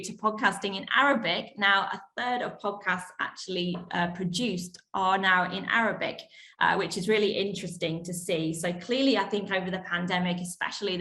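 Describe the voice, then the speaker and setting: A woman's voice: medium pitch, fairly bright tone. A Western expat woman moderating a panel, introducing the session and guests in a prepared, presentational way. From Western country, living in the UAE as an expat. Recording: echoey, roomy sound.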